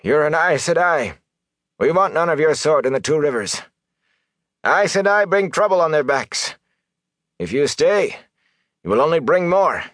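A man's voice: fairly high pitch, animated, slow, no echo, clean studio sound, pitched above the performer's own voice. A man voicing a dour, uneasy old village thatcher in an audiobook, grumbling grudgingly. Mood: sour, accusing. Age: older. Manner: muttering, grudging.

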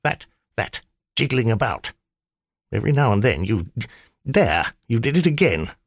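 A man's voice: medium pitch, very animated, unhurried, slightly rough, dry recording, studio quality, exaggerated voice. A man voicing an irritable old male magician, stern and sardonic, in a clipped, scolding manner for an audiobook. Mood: irritated. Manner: clipped, scolding, dryly sarcastic. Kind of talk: audiobook character voice.

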